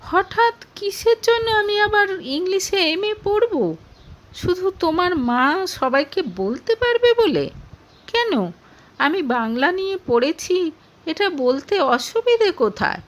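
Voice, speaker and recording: A woman's voice: very high voice, very animated, takes it slowly, pitched above the performer's own voice, full character voice. A woman narrator voicing a hurt, defiant young woman in an audio story, challenging with pointed, indignant questions. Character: hurt, defiant young Bengali woman standing her ground.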